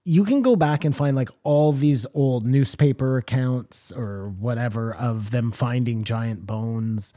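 Man, podcast co-host, mellow dark tone, rambling, speculative, swears. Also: mid-range voice, a little slow, few pauses. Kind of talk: casual chat.

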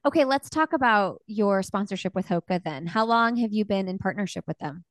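A woman podcast host asking interview questions in a brisk, encouraging way. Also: medium pitch, lively intonation, dry recording, studio quality.

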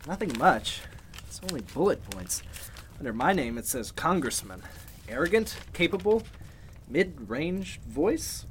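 A man's voice: fairly high pitch, sing-song, measured pace, a little hiss or hum. A man playing an arrogant, capable, uneasy congressman in a golden age style radio mystery. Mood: guarded.

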